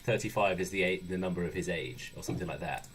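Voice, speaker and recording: A man's voice: low voice, fast talker, a bit bright, husky. A man co-hosting a comedy podcast, casually chipping in with wry, pedantic remarks. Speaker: podcast co-host.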